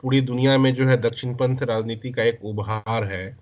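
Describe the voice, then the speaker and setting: A man with an Indian accent hosting a discussion, putting long reflective questions in a deliberate way and rephrasing himself as he goes. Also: medium pitch, fairly even intonation, darkish tone, muffled recording. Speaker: interviewer.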